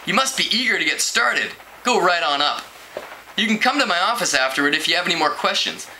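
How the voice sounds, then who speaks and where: An amiable office man voiced by a male reader of a novel chats helpfully. He has a very bright, slightly high voice on a noisy home recording. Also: expressive, a little fast, heavily acted. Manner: obliging, chatty.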